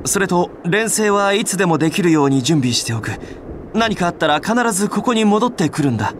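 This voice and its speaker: Casting: caring young game alchemist, lightly high male voice, expressive pitch, friendly explainer. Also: fairly quick, slight background noise. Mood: worried. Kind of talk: Japanese video game voice acting.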